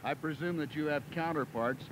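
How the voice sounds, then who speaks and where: A middle-aged man interviewing as a farm-report host outdoors, asking measured, leading questions in a polished presenter's cadence. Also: on the high side. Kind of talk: broadcast interview. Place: outdoors by a cattle pen. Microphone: handheld mic. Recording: noisy 1970s 16mm film sound, outdoors.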